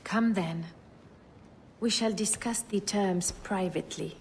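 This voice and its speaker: A woman's voice: medium pitch, takes it slowly. An actress playing a poised, shrewd lady of means in a video game, cool and teasing. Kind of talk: video game dramatic acting. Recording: reverberant, faint ambient noise.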